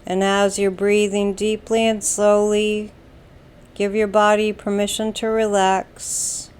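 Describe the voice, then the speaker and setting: A woman with an American accent leads a guided meditation, giving calm, soothing instructions in a deliberate way with long pauses. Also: mid-range voice, clear, resonant tone. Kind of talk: slow guided meditation narration.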